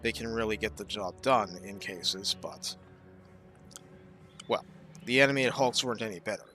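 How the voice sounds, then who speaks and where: A man giving casual gameplay commentary, explaining his strategy thoughtfully and often pausing with fillers. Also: fairly low pitch, bright, forceful tone, soft background music.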